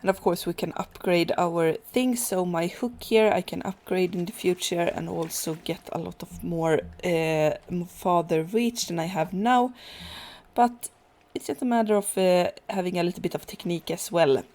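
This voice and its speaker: A woman whose first language is Swedish, casually talking through a survival video game with many pauses and fillers. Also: on the low side. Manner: relaxed, hesitant, many fillers and restarts. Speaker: gaming channel host. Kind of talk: casual let's play commentary.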